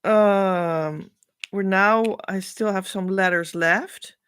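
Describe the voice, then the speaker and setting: A woman with a Dutch accent, a spiritual coach thinking aloud as she reads meaning into letters, with many pauses and hesitations. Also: mid-range voice, fairly even intonation, fairly bright tone, clear, resonant tone, no room sound. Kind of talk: casual spiritual reading.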